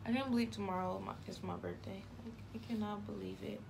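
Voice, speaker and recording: A young woman in her twenties with a raspy voice, talking loosely to the camera as she does her hair and often stopping to correct herself. She records on a phone propped up in her room. Loud background noise runs under her voice. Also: mid-range voice, fairly even intonation, fast talker. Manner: rambling, self-correcting, chatty. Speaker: beauty vlogger. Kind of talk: casual get-ready-with-me chat. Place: bedroom or apartment room, indoors. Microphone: phone camera propped at arm's length. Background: traffic noise from outside.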